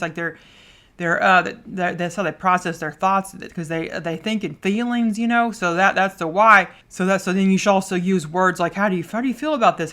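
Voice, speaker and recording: A woman hosting a solo podcast in a casual way, loud and fast, with frequent stumbles and repeated words. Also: lowish voice. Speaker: podcast host.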